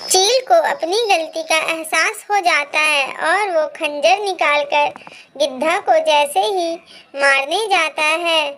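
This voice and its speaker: A woman narrating a scripted children's animated moral story. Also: very high-pitched, slow, a little hiss or hum.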